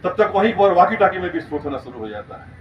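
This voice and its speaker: A man's voice: high-pitched, big pitch swings, fast. Man, school lecture, emphatic storytelling delivery, roomy sound, faint noise behind. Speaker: school principal giving a talk. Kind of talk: motivational school lecture, storytelling about current events. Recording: roomy, distant, muffled, noisy recording.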